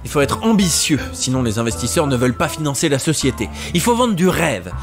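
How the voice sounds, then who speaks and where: A man's voice: mid-range voice, big pitch swings, fairly quick, music in the background. A man playing a pompous start-up CEO in a comedic audio drama, speaking grandiosely and sprinkling in English buzzwords. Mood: condescending. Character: pompous male start-up CEO spouting business jargon.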